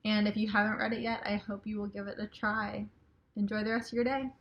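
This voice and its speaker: A woman's voice: mid-range voice, level tone, a little fast, muffled recording. A woman, an author, speaking informally in a recorded message to a library audience. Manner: chatty and informal.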